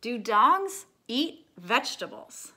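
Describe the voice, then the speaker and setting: A woman's voice: on the high side, big pitch swings, slow pace, bright, forceful tone, full character voice. A woman voicing a young boy science partner in a storybook, practical, matter-of-fact and inquisitive.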